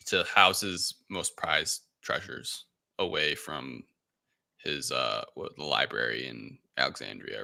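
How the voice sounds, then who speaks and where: Very deep, bright-voiced man hosting casually. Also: vocal fry. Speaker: podcast host. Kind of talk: casual film review discussion.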